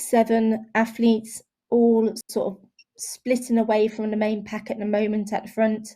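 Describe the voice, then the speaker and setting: Female co-commentator, mellow dark tone, flat delivery, chatty. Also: medium pitch. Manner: measured, chatty, with fillers. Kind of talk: live race commentary.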